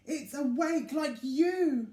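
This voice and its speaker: A man's voice: very high-pitched, takes it slowly, a little mellow, voice pushed up. A man voicing a bigger, older male mouse in a children's story, weary, firm and a little exasperated. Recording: echoey room.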